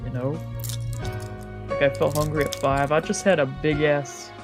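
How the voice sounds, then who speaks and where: Low-voiced woman chatting casually on stream. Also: little pitch movement, moderate pace, over music. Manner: informal, sweary, self-deprecating, playful. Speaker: VTuber streamer playing a visual novel. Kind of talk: casual rambling livestream chat. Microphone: old, cheap microphone.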